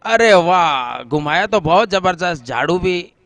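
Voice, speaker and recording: ऊँची, साफ़ आवाज़ वाला क्रिकेट कमेंटेटर. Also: male voice, sits high, lively intonation, unhurried, fairly bright tone, dry recording. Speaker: cricket commentator. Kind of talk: live match commentary in casual banter.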